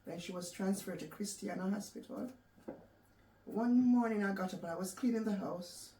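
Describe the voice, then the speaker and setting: A woman from Jamaica with a Jamaican accent, recounting her life story in a soft, reflective way with halting restarts. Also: medium pitch. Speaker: interview guest. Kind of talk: personal storytelling in an interview. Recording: echoey room, distant mic, faint background noise.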